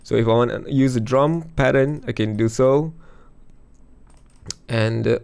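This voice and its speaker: A man losing his voice, chatting casually through a music software demo over a screen recording. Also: mid-range voice, measured pace, gravelly, smooth. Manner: chatty, thinks aloud with fillers. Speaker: music production youtuber.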